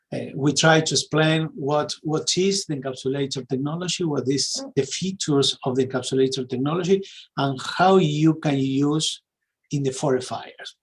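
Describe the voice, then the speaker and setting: A middle-aged man with an Argentine Spanish accent presents a webinar informally, searching for words in his second language. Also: mid-range voice. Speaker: sales and marketing executive, webinar presenter.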